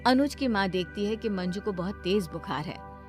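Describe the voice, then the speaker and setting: A woman's voice: medium pitch, animated, normal speed, darkish tone, soft background music. A woman storyteller reading scripted narration for a cartoon bedtime story.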